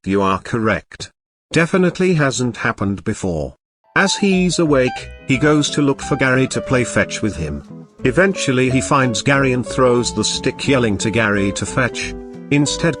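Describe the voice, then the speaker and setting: A young man giving a casual game review voice-over, chatty and enthusiastic with joking asides. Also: medium pitch. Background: game music playing.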